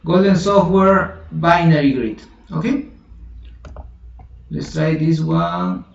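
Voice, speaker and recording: A man whose first language is Spanish, teaching a software tutorial in a halting way, thinking aloud with many restarts and self-corrections. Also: on the high side, vocal fry, a little hiss or hum. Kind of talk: screen-recorded software tutorial. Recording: roomy, distant, dull lo-fi home recording.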